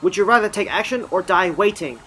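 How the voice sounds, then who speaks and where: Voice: mid-range voice, animated, fairly quick, bright, forceful tone, faint music behind. An actor playing a resolute commanding police officer, speaking bluntly and decisively in an amateur audio drama. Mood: urgent, firm.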